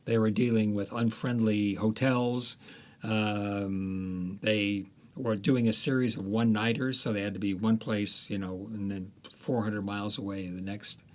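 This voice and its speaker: A man with a low voice tells stories as a guest author in an interview. He speaks in a loose, conversational way, pausing on fillers and restarting his sentences as he goes. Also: steady tone. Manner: unhurried storytelling with many fillers and restarts.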